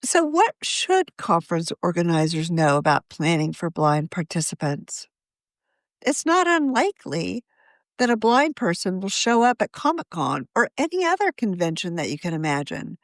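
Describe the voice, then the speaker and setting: A woman, a magazine's deputy editor, reading her own article aloud in a measured, scripted narration. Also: fairly low pitch, very animated, no echo, clean studio sound.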